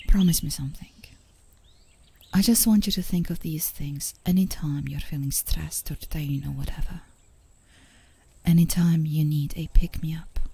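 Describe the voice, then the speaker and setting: Soft breathy girlfriend, acted by a woman, slow and tender. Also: lowish voice. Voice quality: soft, breathy, often whispering. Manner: gentle, affectionate, unhurried, giggly. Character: tender, playful, adoring girlfriend comforting her partner outdoors. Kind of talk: intimate ASMR romantic roleplay.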